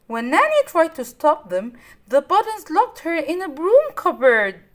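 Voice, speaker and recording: Slow, high-pitched woman reading a children's story. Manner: simple, child-directed delivery with dramatic emphasis. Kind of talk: expressive children's story read-aloud.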